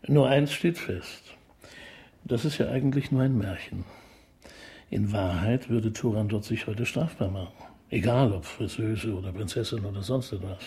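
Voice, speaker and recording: An actor playing a polite, opera-loving police detective in a radio play, musing and probing gently in a roundabout way. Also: male voice, on the low side, moderate pace, close to an everyday voice. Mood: quietly probing. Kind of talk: naturalistic radio drama acting.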